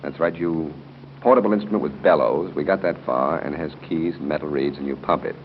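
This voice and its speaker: A man's voice: extremely low pitch, a little fast. An American man hosting a live 1930s radio quiz, ad-libbing and joking genially with contestants. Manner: genial and joking, chuckles between questions. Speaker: quiz show host. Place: hotel room at a club luncheon. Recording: muffled, noisy 1930s radio broadcast recording.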